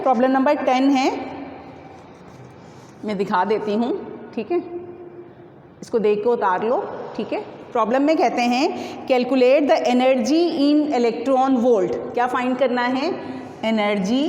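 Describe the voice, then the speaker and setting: A woman gives a step-by-step lesson in a slightly high voice, often asking a question and then answering it. She mixes English technical terms into her explanations. The room is echoey with a long reverb, and there is faint background noise. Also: darkish tone, lo-fi sound. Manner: instructive, poses questions then answers them herself. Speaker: physics teacher. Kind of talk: step-by-step classroom lesson working through numericals.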